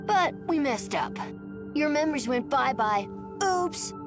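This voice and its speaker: A woman's voice: high voice, animated, a little slow, music in the background. A woman voicing a childlike, simple and cheerful spirit in a video game, with babyish, casual phrasing. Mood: apologetic, playful.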